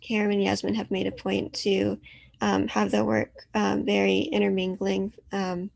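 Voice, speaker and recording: A woman's voice: mid-range voice, flat delivery, moderate pace, gravelly, a little mellow, no room sound. A woman curator presenting a virtual artist talk from her apartment, polite and full of ums. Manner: polite, filler-heavy presenting.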